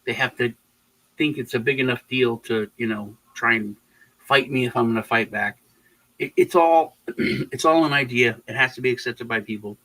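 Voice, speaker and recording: A man from Texas who was raised in Germany, hosting a casual political livestream chat and rambling with halting restarts. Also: medium pitch, bright, forceful tone, poor audio. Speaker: livestream host.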